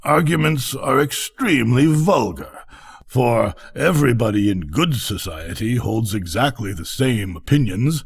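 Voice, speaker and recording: A man's voice: mid-range voice, animated, breathy edge. A man voicing a complacent, talkative little frog in a bedtime story, sounding very pleased with himself. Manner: complacent, drawn-out self-satisfaction. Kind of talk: storybook character reading.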